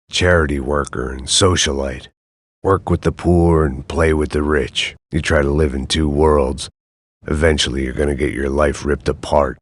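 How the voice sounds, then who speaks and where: An actor playing a world-weary, sardonic middle-aged ex-cop, delivering a dry, deadpan hard-boiled game monologue. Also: male voice, a bass voice, no room sound. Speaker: game protagonist.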